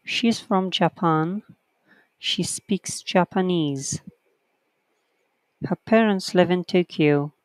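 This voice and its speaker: Writing teacher, unhurried and methodical, smooth clear voice, dry close sound. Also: medium pitch, dry recording, rough recording. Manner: reads sentences out slowly and counts corrections aloud. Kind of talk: slow online lesson, reading a textbook aloud.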